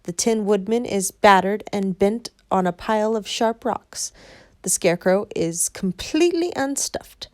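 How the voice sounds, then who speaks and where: A woman's voice: expressive, a little slow, no echo, clean studio sound, natural voice. A woman doing a gloating wicked witch. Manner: gloating, then shrill panicked screams. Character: cackling wicked old witch.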